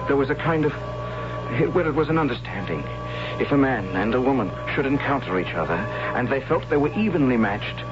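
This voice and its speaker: An actor narrating as a young warrior sent into a forbidden forest, earnest and hesitant, in an old radio drama. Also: male voice, medium pitch, close to an everyday voice. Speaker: narrator and lead character. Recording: lo-fi old radio broadcast with music.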